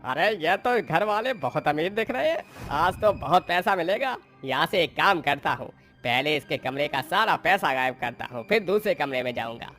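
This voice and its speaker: A man's voice: a little high, lively intonation, normal speed, few pauses, fairly bright tone, faint music behind. A man playing a greedy, cowardly burglar in a moral story. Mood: greedy glee. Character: greedy house burglar, threatening but cowardly. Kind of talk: acted Hindi moral story dialogue.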